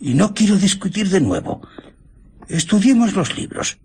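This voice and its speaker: An actor playing a nervous, fussy village gentleman in a radio drama, halting and easily frightened. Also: high voice, very animated, breathy edge, exaggerated voice. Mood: uneasy.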